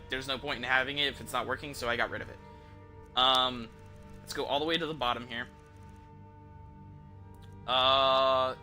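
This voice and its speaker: A man's voice: medium pitch, pushed and bright. A man who streams games, giving casual, rambling commentary and thinking aloud in informal, mildly profane language. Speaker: game streamer.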